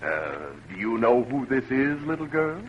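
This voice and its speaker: A man's voice: mid-range voice, very animated, moderate pace, lightly acted. Man playing a pompous doctor, swooping intonation. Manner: pompous, formal. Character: self-important, authoritative male doctor. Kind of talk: 1940s radio comedy acting. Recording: dull, noisy old radio recording.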